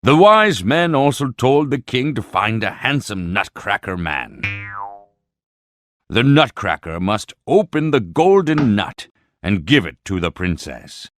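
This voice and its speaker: A man narrating a children's bedtime storybook in a deliberate, expressive way. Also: fairly low pitch.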